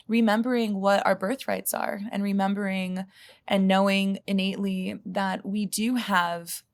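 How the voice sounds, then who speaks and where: A woman guest on a podcast speaks in an earnest, reflective way. Her voice carries a steady gravelly fry, and her pitch stays within a narrow band. She keeps returning to "you know" as she works through her points. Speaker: guest, healer and psychedelic guide. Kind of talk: podcast conversation.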